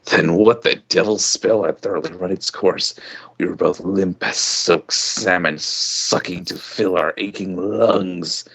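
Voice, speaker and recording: A man reading fan fiction as an amateur, with a husky, often breathy voice and a hammy, halting delivery. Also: low-pitched, fairly even intonation, a bit bright, poor audio. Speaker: story reader.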